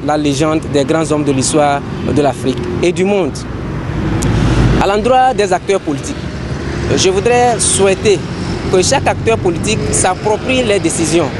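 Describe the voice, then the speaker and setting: High-voiced, formal Togolese man. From Togo. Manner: earnest, ceremonious, halting. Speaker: citizen giving an opinion. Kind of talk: formal spoken statement to a microphone. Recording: clear outdoor street and traffic noise.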